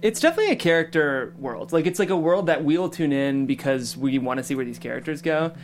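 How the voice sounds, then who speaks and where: Fast-talking, animated woman. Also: low-pitched, a little hiss or hum. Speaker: panelist questioning a writer. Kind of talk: casual panel discussion.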